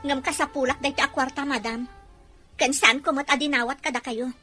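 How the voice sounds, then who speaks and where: A woman's voice: sits high, fairly even intonation, brisk, loud music behind the voice, lightly acted. A woman playing a proud, wronged young street vendor in a Filipino radio-style drama, firm and indignant. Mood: defiant. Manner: firm, indignant, respectful address.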